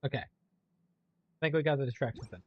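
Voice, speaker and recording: A man's voice: medium pitch, lively intonation, fast talker, muffled recording. A man giving casual let's play commentary, thinking aloud in a hesitant, rambling way. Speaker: game streamer.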